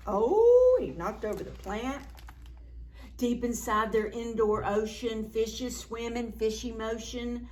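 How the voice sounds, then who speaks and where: A woman with a fairly high voice reads a children's picture book aloud. Her pitch swings widely and she takes her time over the words. The delivery is playful and dramatic, pitched at very young listeners. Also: slow pace. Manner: animated, playful, dramatic reading with gasps and exclamations; addresses young children with simple questions. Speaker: children's library storyteller.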